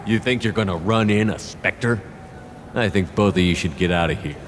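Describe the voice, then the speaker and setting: A man's voice: on the low side, normal speed, background noise, natural voice. A man playing a resolute, commanding soldier hero in a video game, firm and direct. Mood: stern.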